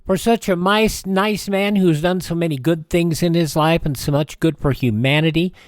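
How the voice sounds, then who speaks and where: An older American man hosting a radio show, chatting casually through dates and birthdays with rambling, folksy asides and hesitant pauses. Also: fairly high pitch, darkish tone, dry recording, studio quality. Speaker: radio host.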